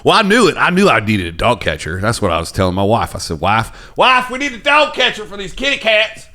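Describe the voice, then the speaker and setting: A man's voice: on the high side, wildly swooping pitch, brisk, sharp tone, voice pushed up, full character voice. A man voicing a rowdy old country man who calls a computer help desk and talks in a crude, drawling, teasing way.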